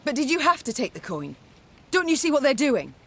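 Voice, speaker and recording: A woman's voice: sits high, sing-song, fairly quick, pushed and bright, exaggerated voice. A woman playing a proud, commanding queen in a fantasy game, speaking in a formal, pointed way. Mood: indignant. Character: proud, commanding warrior queen questioning a mercenary. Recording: background music under the dialogue.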